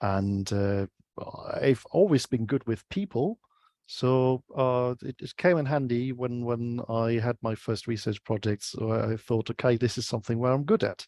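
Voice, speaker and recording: A man with a German accent, a guest in an interview on a video call, speaking English as a second language and often pausing to search for words. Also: mid-range voice, darkish tone, no room sound. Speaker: guest, UX researcher. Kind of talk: casual interview chat.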